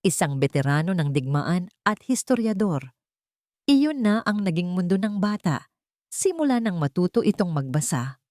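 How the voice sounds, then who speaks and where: A woman's voice: fairly low pitch, big pitch swings, normal speed, mellow, no room sound, clean studio sound. A woman reading a scripted dramatic story as its narrator. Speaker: narrator of a Tagalog love story.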